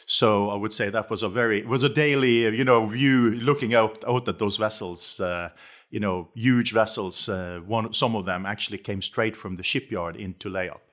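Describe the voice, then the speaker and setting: A middle-aged man with a Norwegian accent, answering as an interview guest in a halting, conversational way with repeated words and hesitations. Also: lowish voice, a bit bright. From west coast of Norway. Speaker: interview guest, shipping company chief executive.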